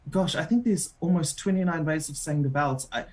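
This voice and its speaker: A woman's voice: deep voice, a little fast, a crowd in the background. A woman with a New Zealand accent, a radio show host being interviewed on air, talking in a chatty way with many filler ums.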